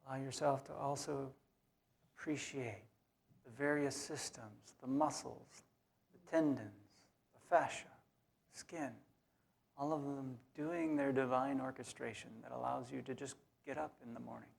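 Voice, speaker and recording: A man leading a guided meditation in a soothing, unhurried way, leaving long pauses between short phrases. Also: medium pitch, fairly even intonation, vocal fry. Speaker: meditation leader.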